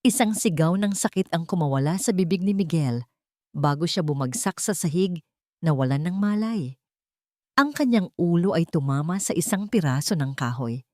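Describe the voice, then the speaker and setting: A woman narrates a romantic drama in a fairly low voice. Her delivery is scripted and dramatic, the voice of a storyteller. The sound is dry, with no room around it. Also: a little mellow, dry recording.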